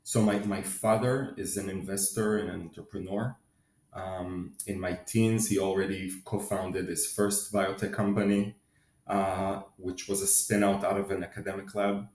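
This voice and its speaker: A man with a very low, deep voice speaks in a measured, thoughtful way, pausing now and then on fillers as he answers questions. He grew up in Israel and sounds middle-aged. His voice carries a noticeable room echo. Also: flat delivery, a little mellow. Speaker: guest, company finance executive. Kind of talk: conversational interview answers. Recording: roomy echo.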